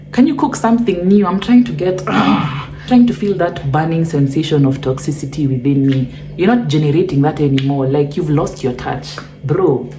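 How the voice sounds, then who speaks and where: A woman's voice: sits low, mellow, slight background noise, rough recording. A young woman with a lisp, chatting playfully and sassily to camera as she answers fan questions. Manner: playful, sassy, mixes Swahili slang into English. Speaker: vlogger reading fan questions. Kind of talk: casual chat to camera.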